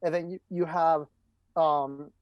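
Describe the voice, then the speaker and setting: A man's voice: fairly high pitch, fairly even intonation, takes it slowly, no room sound. Dull-sounding man hosting a podcast. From El Salvador, moved to the United States as a child. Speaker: podcast host. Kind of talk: casual interview conversation. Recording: dull, dry lo-fi microphone.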